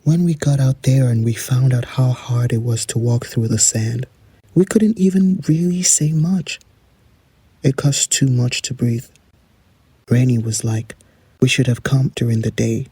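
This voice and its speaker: A man playing a weary narrator recalling an unsettling night trek in a horror audio drama, his voice husky, dark and gravelly. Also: medium pitch, unhurried, dry recording. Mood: uneasy. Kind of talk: first-person horror audio drama monologue.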